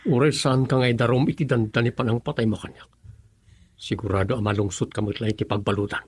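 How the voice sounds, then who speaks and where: A man's voice: medium pitch, steady tone, fast talker, husky, close to an everyday voice. A man playing an earnest, solemn young suitor with a reproachful edge, in radio drama style with a Filipino accent.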